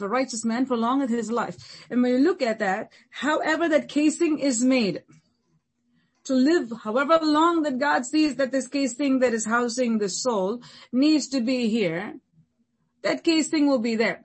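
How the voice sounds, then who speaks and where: Husky-voiced woman pastor preaching. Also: a little high. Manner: repetitive, emphatic preaching with building lists. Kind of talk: sermon.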